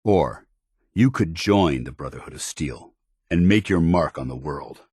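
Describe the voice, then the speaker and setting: A stern video game paladin, with a very low, husky voice that moves expressively. He is zealous and loyal, speaking with clipped military formality in a close, dry recording. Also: expressive, unhurried, darkish tone, dry recording, exaggerated voice. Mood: firm. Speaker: companion soldier character.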